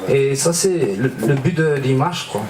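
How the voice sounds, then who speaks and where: A man whose first language is Dutch, with a Flemish Belgian accent, a filmmaker talking slowly in an interview and often searching for his words. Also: mid-range voice, roomy sound, very noisy. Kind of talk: casual interview.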